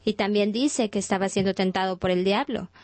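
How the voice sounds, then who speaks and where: A woman's voice: medium pitch, a little fast, a little mellow. A woman delivering a sermon in Spanish translation, talking in a conversational storytelling way with frequent restarts.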